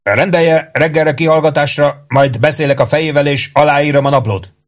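A man's voice: fairly high pitch, fairly even intonation, voice pushed up, natural voice. An indignant older officer in an audiobook war story, acted out by a male narrator. He is blustering and emphatic, huffing with temper, and his voice rises above a middle register. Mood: grudging. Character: hot-tempered, blustering older army captain.

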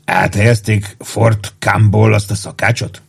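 A man reading an audiobook plays an old army crony, sly and gossipy. The voice is husky, savoring each remark, with a short fuse underneath. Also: on the low side, level tone, heavily acted. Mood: sly, jovial. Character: old soldier, sly gossiping barracks crony, easily exasperated. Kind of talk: dramatized audiobook dialogue.

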